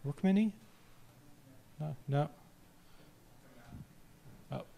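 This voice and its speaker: A man's voice: a little high, lively intonation, very slow, gravelly, dark tone. A man announcing informally as an event host, with faint noise behind him. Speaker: event host introducing presenters.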